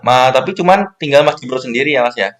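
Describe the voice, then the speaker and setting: A man's voice: mid-range voice, animated, quick pace. A man hosting a casual interview, friendly and curious, echoing and summing up his guest's answers. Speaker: interviewer, host.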